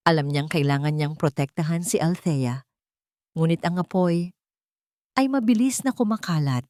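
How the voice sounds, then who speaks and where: Dramatic woman storyteller, low and dry. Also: darkish tone, dry recording. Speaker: narrator. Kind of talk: dramatic scripted story narration.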